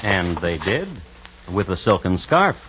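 A man playing a wry, droll narrator of historical crime tales in old-time radio style, deadpan and measured. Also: deep voice, noisy background. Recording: dull old radio recording, music underneath.

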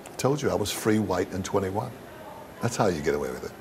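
A man's voice: low-pitched, animated, fast, darkish tone, slight background noise. A man giving blunt, emphatic answers in a candid interview, repeating phrases for stress.

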